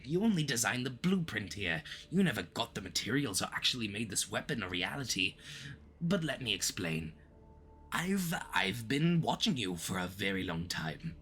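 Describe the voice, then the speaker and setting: A man's voice: mid-range voice, fairly bright tone, slight background noise. A man playing a smug but easily flustered, obsessive supervillain in a flirty, theatrical roleplay addressed to the listener, with awkward stammering slips. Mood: admiring, flirty.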